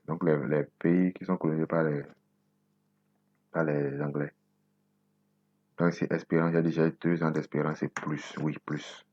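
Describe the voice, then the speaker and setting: A man in his twenties from Benin, whose first language is Nago, hosting a coding tutorial and thinking aloud slowly as he types, repeating words to himself. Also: very deep voice, no echo, highs cut off. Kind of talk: casual screen-recorded coding tutorial.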